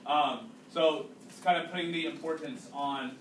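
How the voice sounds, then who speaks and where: A man reading a storybook aloud to a class as their teacher, pausing to explain and ask questions. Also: fairly high pitch, fairly even intonation. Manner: instructive, checking understanding with questions. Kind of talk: read-aloud with explanatory asides and questions to pupils. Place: indoors, classroom. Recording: roomy, distant mic, faint background noise.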